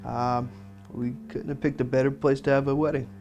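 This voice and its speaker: A man's voice: mid-range voice, level tone, fairly quick, highs cut off. A man, the groom, casually recalling his wedding day in a voice-over and laughing now and then. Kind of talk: voice-over recollection. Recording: soft music under the voice.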